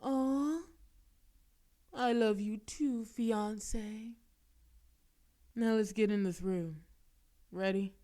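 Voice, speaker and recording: A woman's voice: a little high, very slow, long pauses, mellow. A woman as a teasing mafia boss lady. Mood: flirty. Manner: teasing, flirtatious. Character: flirtatious female mafia boss, bold and teasing. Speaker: voice actress. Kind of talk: audio roleplay acting.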